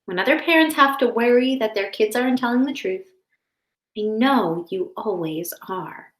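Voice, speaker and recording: A woman's voice: on the high side, expressive, moderate pace, a bit bright. Reassuring storybook mama, read by a woman, echoey. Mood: reassuring, loving. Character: kind, reassuring mother comforting her young child. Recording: roomy, echoing indoor sound.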